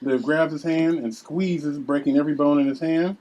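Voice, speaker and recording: A man's voice: a little high, steady tone, moderate pace, darkish tone, rough recording. A man narrating scene headings and stage directions at a script table read.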